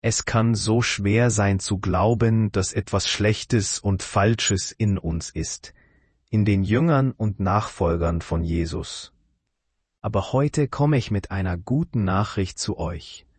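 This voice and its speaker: A man with a low, husky voice preaching a sermon. He asks his listeners searching questions in an earnest, measured way. The recording is close and dry. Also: darkish tone, no room sound.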